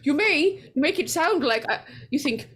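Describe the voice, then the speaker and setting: A woman's voice: sits high, animated, a little slow, fairly bright tone. A woman playing a nervous, pleading, scheming woman in 1940s radio horror style, stammering and repeating words.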